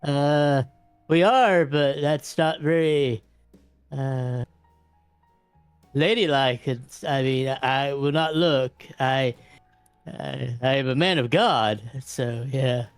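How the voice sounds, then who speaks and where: A man's voice: mid-range voice, slow pace, smooth, dry recording, soft background music. A man voicing a courtly, pious vampire in a tabletop game, prudish around ladies, with hesitant, stammering, old-fashioned politeness. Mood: flustered, prim. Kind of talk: improvised tabletop roleplay.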